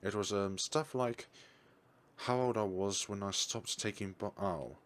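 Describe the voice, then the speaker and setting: A man voicing a young woman researcher who explains things in a brisk, blunt way. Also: fairly low pitch, a bit bright. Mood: explaining.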